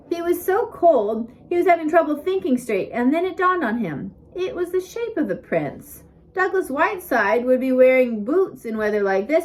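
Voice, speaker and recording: Higher-voiced woman reading a storybook aloud. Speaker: audiobook reader. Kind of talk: scripted storybook narration.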